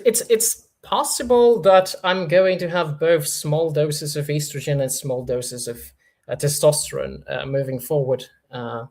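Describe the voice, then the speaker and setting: A man from Poland with a Polish accent touched by Norwegian, hosting a casual livestream Q&A and reading chat comments, hesitant with many fillers and restarts. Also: a little high. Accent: Polish, with Norwegian influence. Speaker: livestream host.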